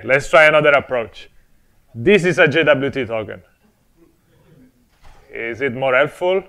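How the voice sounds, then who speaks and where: A young man with an Italian accent presents a technical talk at a conference, his voice sitting at an ordinary middle pitch. He explains things in a friendly, step-by-step way, with a light humorous touch. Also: fairly bright tone. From Italy. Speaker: conference speaker. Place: conference stage before an audience.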